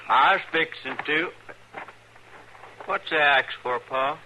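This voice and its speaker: An actor playing a callous, obedient young frontier son, folksy and surly, in an old radio western. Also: male voice, fairly high pitch, slow pace, bright, forceful tone, full character voice. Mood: dutiful. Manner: folksy, matter-of-fact, surly. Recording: noisy old radio recording.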